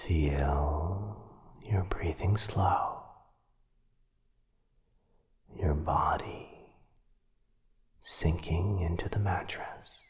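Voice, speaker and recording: A man voicing a gentle, protective vampire in an ASMR roleplay, soft, breathy and husky, soothing in a hushed, halting way. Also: sits low, full character voice. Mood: hypnotic, soothing. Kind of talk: boyfriend ASMR roleplay audio drama.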